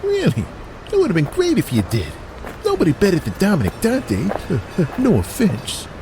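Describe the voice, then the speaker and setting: An actor playing a skinny, cocky, hot-headed Italian-American mob collector in an audio drama. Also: male voice, sits high, wildly swooping pitch, normal speed, noisy background, full character voice. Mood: eager, cocky. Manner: wise-guy swagger, profane.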